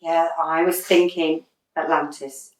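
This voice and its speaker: A woman's voice: low voice, level tone, a little slow, darkish tone, far from the mic, slight background noise. A woman acting in a radio drama as a wry, unflappable middle-aged football manager with a dry, sardonic manner, in a roomy echo away from the mic. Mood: calm, relieved.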